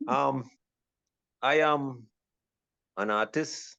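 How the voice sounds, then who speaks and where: An older man in his seventies talking easygoing over a video call, his voice gravelly. The audio is dull, lo-fi and very dry. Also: medium pitch, extremely slow, pushed and bright, dry recording. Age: 70s. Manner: easygoing, with many ums. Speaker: panel guest. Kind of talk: casual chat.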